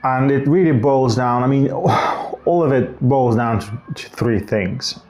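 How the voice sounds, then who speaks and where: A man's voice: medium pitch, unhurried, a little mellow. Reflective man rambling through a vlog. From Bulgaria. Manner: reflective, rambling with restarts and self-corrections. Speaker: vlogger. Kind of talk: casual talking-head vlog.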